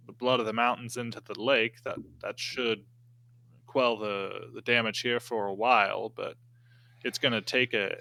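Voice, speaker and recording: A man speaking in character in a tabletop game, deliberate and hesitant, with folksy old-time phrasing. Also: fairly low pitch, creaky, bright, forceful tone.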